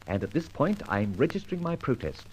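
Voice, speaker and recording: Flippant gentleman adventurer, wildly swooping delivery. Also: mid-range voice, big pitch swings, fairly quick, husky. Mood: wry, indignant. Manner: theatrical, wry, wordy and playful. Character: flippant, dashing gentleman adventurer, the Grey Goose. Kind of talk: old-time radio detective acting and narration. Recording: noisy old radio drama recording.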